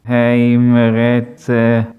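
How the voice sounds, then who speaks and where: Very slow, flat man. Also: mid-range voice, clean tone. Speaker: host. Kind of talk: show introduction. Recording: tinny phone-like sound.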